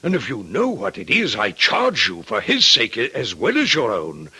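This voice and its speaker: An actor playing a grave, authoritative older father with a husky voice, speaking formally and firmly in old-time radio drama. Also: mid-range voice, wildly swooping pitch, normal speed, pushed and bright, heavily acted, poor audio. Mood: grave, troubled. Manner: formal, firm.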